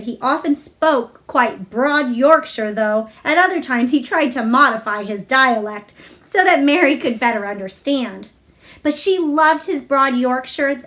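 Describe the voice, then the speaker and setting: Female storybook reader with a high voice, narrating a children's novel aloud. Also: fairly bright tone.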